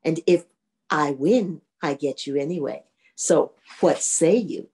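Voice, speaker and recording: A woman voicing a boastful, menacing skeleton ghost who makes slow, deliberate threats in a storytelling performance. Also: sits low, dark tone, voice pushed down.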